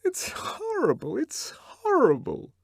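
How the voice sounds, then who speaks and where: A man reading an audiobook and voicing a conventional young woman who pleads in a high, quickly upset voice. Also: wildly swooping pitch, a little mellow, no room sound, full character voice. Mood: distressed. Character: young woman, cheerful, conventional, easily distressed. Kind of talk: audiobook character voice.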